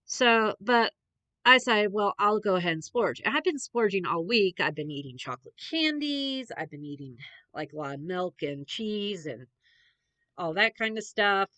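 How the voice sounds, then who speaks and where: A woman's voice: medium pitch, lively intonation, moderate pace, pushed and bright, no echo. A young adult woman, a little congested, chatting in a rambling, meandering way with many fillers as a podcast host. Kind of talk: rambling casual chat.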